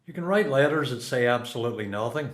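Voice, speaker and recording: A man's voice: medium pitch, lively intonation, fast talker, lightly acted. A man with a Belfast accent playing a seasoned prisoner in a radio play, a blunt mentor who talks in terse, instructive lines. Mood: earnest, urging. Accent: Belfast Northern Irish.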